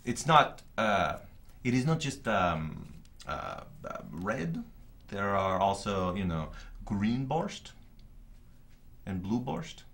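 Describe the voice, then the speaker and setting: A bumbling male dialect coach, played by a performer in a comedy talk-show bit. His voice sits extremely low, and he rambles and hesitates through his answers, tripping over fillers. He contradicts himself as he goes. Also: very animated, gravelly, fairly bright tone, exaggerated voice. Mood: earnest. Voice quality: halting, rambling, full of fillers.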